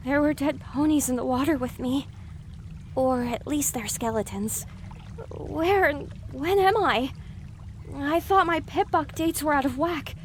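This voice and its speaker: A woman's voice: high voice, unhurried, slight background noise. A woman playing an anxious young female pony in an audio drama, sarcastic and easily panicked.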